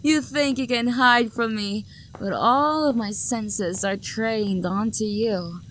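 A woman's voice: a little high, takes it slowly, a bit bright, slight background noise, rough recording. A young woman acting an obsessive, possessive lover who hunts for the one she adores, her voice sweet and menacing and often sinking to a whisper. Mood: teasing, hunting. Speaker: voice actor. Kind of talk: audio roleplay acting.

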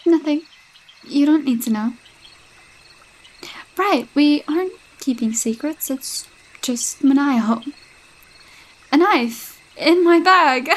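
A woman's voice: on the high side, rough recording. A young woman voicing a possessive, jealous girlfriend with a sinister streak, sweet-talking and coaxing in a roleplay audio drama. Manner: sweet-talking, coaxing, with unsettling laughs and hushed asides.

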